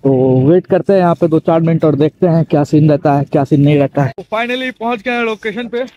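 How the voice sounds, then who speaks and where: A man's voice: a little high, quick pace, mellow, lo-fi sound, a little hiss or hum. A young man giving casual motovlog commentary from his bike, talking directly to viewers and repeating himself. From Bihar, India. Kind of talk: casual vlog commentary while riding. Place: outdoors on a motorbike on town roads.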